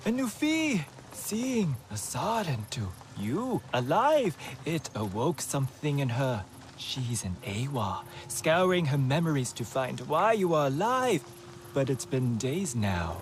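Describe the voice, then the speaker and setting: Excitable, swooping male alien healer. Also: mid-range voice, slight background noise. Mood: anxious. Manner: theatrical, halting phrasing. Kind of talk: video game acting.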